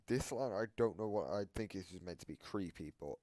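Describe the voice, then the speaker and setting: A man's voice: low-pitched, fairly quick, dark tone, no room sound. A man giving casual, explanatory commentary in a reaction video, often hedging as he interprets things. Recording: noisy home mic with clicks.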